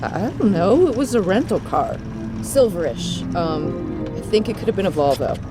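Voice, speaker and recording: A woman's voice: mid-range voice, very animated, normal speed, lightly acted. An actress playing a determined, secretive young woman in an audio drama. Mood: evasive. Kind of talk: full-cast audio drama acting. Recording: music behind the voice.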